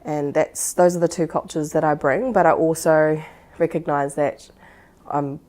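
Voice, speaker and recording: A woman's voice: sits low, fairly even intonation, a little slow, warm tone. A woman explaining her field in a reflective interview, choosing her phrasing carefully and hesitantly. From Singapore-born, living in Auckland, New Zealand. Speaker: expert on supporting sexual violence survivors.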